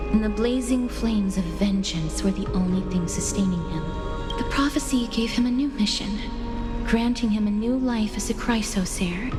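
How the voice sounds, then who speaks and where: A woman playing a gentle, solemn young attendant in a fantasy game, speaking with formal, measured gravity. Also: mid-range voice, natural voice. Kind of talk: dramatic fantasy game narration. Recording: long hall reverb. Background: loud music.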